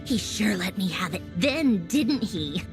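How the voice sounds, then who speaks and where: A woman's voice: mid-range voice, lively intonation, measured pace, over music, natural voice. A woman voicing a brash, spirited young fighter in a video game, blunt, breezy and sarcastic. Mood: sardonic, blunt.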